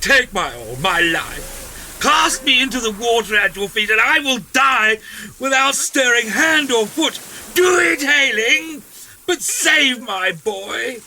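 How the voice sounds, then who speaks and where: A frantic old father in a melodramatic Victorian audio drama. His very high, piercing voice swings wildly as he begs, drawing out his words over a noisy background. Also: animated, slow, bright, forceful tone, full character voice. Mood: anguished begging. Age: older. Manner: melodramatic, imploring. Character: desperate old father begging for his drowning son.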